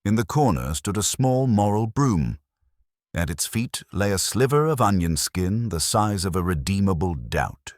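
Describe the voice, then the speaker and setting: Male narrator, very low pitch, lively intonation, wry and formal, scripted mystery storytelling. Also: a little slow, dry recording, studio quality.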